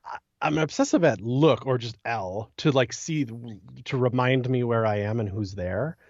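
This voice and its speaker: A man's voice: mid-range voice, normal speed, dry recording. A man co-hosting a podcast, musing informally in a loose, casual chat about a game. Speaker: podcast co-host. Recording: dull, slightly lo-fi dry audio.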